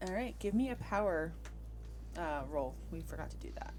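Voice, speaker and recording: A woman running a tabletop game as game master, narrating casually in a halting, matter-of-fact way. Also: fairly low pitch, lively intonation, heavy background noise. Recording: music playing behind the voice. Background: music.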